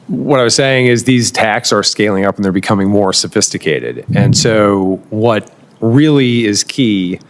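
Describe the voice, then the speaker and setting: A man testifying as a government nominee, measured and fluent in policy jargon. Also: fairly low pitch, expressive. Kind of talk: formal testimony.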